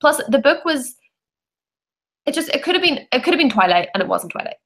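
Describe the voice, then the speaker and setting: A woman, giving her opinions in a chatty, animated way in a casual book discussion. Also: high voice, fairly quick, a little hiss or hum. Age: 20s. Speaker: book club panelist. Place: bedroom, indoors. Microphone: computer video call.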